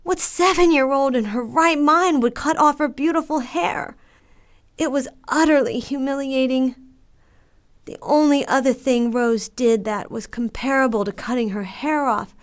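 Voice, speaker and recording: A woman playing a haughty, easily scandalized mother in a religious audio drama. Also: high voice.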